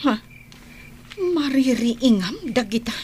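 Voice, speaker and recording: An irritable, evasive wife and mother with an Ilocano accent. Her pitch is high and swings widely as she snaps defensively, radio drama style, with faint ambient noise behind her. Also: animated, slow, a little mellow. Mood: defensive, irritated. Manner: snappish, defensive. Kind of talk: Filipino radio drama acting.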